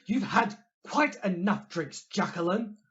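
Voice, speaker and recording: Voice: medium pitch, expressive, a little slow, fairly bright tone, dull audio. A performer in an audio play acting an exasperated, curt young man with a terse, commanding manner. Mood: exasperated, alarmed.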